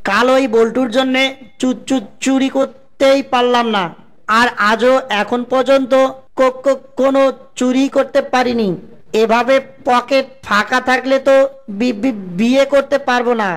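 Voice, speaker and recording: A woman's voice: high-pitched, fairly even intonation, a little slow. A woman voicing a roguish, lovestruck male fox in a cartoon, who stumbles through words with a heavy stutter. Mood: glum. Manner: heavy stutter, repeats syllables. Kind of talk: exaggerated cartoon voice acting.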